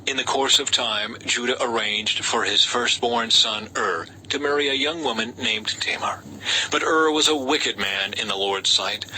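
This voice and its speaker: Very bright-voiced man reading scripture. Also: medium pitch, poor audio. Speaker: audiobook-style scripture reader. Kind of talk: scripted narration of a Bible story. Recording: faint background noise.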